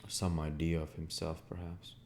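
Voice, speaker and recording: A man's voice: very deep voice, little pitch movement, moderate pace, a little mellow, slight background noise. A man narrating literary fiction as an audiobook reader, in a scripted style.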